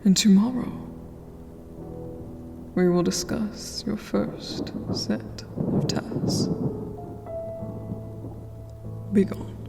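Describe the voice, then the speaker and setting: A woman playing a cold, stern vampire queen in a fantasy ASMR roleplay, regal and commanding. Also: mid-range voice. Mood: coolly satisfied. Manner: slow, commanding, breathy whispered delivery. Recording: long hall reverb, music underneath.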